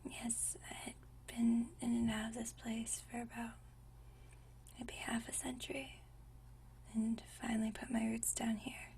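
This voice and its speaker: A woman playing a gentle, nostalgic century-old vampire in a whispered ASMR roleplay, speaking softly and intimately with unhurried, genteel old-fashioned phrasing. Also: fairly high pitch, completely flat delivery, recorded from a distance, a little hiss or hum. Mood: quietly reminiscing. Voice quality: soft breathy whisper.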